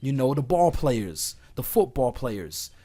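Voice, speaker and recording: A man with a slightly hoarse voice from being sick, talking casually and directly to his listeners as an online host giving rambling advice. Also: medium pitch, sing-song, slow pace, dark tone. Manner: informal, conversational, addresses listeners directly. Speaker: host of an online talk series. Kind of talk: casual rambling advice chat over gameplay. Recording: clear background noise, game sounds. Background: video game playing in the background.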